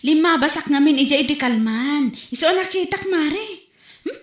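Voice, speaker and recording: A woman's voice: high-pitched, moderate pace, lightly acted. An actress playing a chatty, gossiping neighbour woman in a comedy audio drama, speaking with an Ilocano accent from the northern Philippines. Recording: echoey room.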